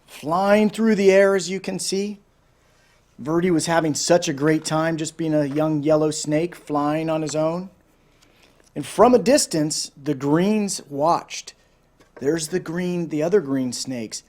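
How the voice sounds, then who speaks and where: A man with a slightly high voice reads a children's storybook aloud. He presents it informally, as a storyteller talking directly to the listeners. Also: slow pace, darkish tone. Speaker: volunteer storyteller.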